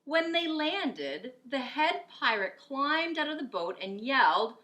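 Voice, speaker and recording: A middle-aged woman reads a picture book aloud like a teacher. Her voice is bright and very lively in pitch, with slow, deliberate phrasing that explains things clearly to children. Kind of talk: expressive children's story reading.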